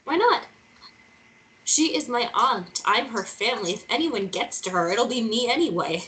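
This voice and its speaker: A woman's voice: a little high, expressive, normal speed, fairly bright tone, poor audio. A woman playing a determined, stubborn journalist in a drama read-through, earnest and persistent in her way of talking. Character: determined female journalist, pleading yet stubborn.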